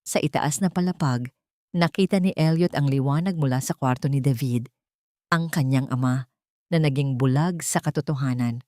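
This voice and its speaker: A woman's voice: rumbling low, moderate pace, darkish tone, no echo, studio quality. A woman narrating a scripted audio drama in a dramatic storytelling style.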